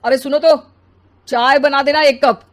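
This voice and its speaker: A woman's voice: high-pitched, steady tone, a little slow. A woman voicing a grumpy, lazy, self-important husband and father who complains and makes demands in a story. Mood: demanding.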